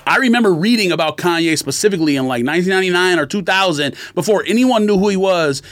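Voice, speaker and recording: A man's voice: sits high, animated, quick pace, fairly bright tone. A man in casual podcast banter, pressing with questions and needling his co-hosts argumentatively. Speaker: podcast co-host.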